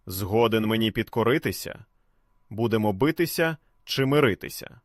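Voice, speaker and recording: A man's voice: lowish voice, animated, moderate pace, no room sound, studio quality, close to an everyday voice. Man as a menacing six-headed storybook dragon. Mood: threatening. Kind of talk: folk tale character voice.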